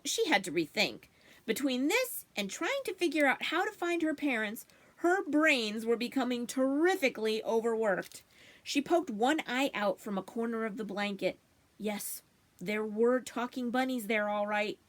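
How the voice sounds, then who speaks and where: A woman reading a children's storybook aloud as expressive narration, in the manner of a library storytime. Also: a little high.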